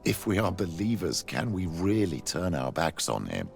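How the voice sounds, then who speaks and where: An actor playing a grave, devout older priest with a gravelly voice and a measured, oratorical delivery in a video game. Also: male voice, low voice, sing-song, soft background music, heavily acted. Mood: solemn persuasion. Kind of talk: video game drama acting.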